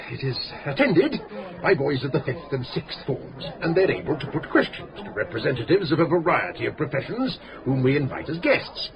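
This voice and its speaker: An actor playing a pompous, long-winded English school headmaster in a radio drama, speaking in formal, pedantic, hesitant phrases. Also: male voice, on the high side, very animated, moderate pace, muffled recording. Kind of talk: British radio drama acting. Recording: radio play with busy pub chatter.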